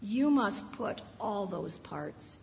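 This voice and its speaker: Lady doing a calm wise prince, soft and slow, roomy echo. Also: mid-range voice, vocal fry, lo-fi sound, voice pushed down. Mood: gentle. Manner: gentle, calm, explaining. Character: gentle wise young prince.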